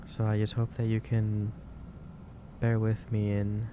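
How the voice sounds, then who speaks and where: A man playing a gentle, caring office boss in a soft-spoken ASMR roleplay, speaking haltingly and repeating himself. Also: fairly low pitch, monotone, background noise, close to an everyday voice. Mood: gently concerned. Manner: hesitant, halting, repeats phrases. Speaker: roleplay performer.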